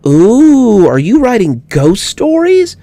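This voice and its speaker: A man's voice: sits high, wildly swooping pitch, takes it slowly, mellow, no echo, voice pushed up, heavily acted. A man voicing a mischievous, curious six-year-old girl in a horror story reading, with a pert, inquisitive, childlike manner.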